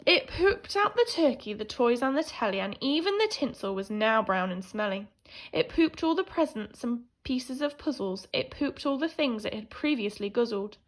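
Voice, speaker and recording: Lady teacher reading a kids story, high pitched, super expressive and sing-song, really acting it out. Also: moderate pace. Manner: animated, theatrical storytelling with hushed and emphatic swings. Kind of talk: expressive children's picture-book read-aloud.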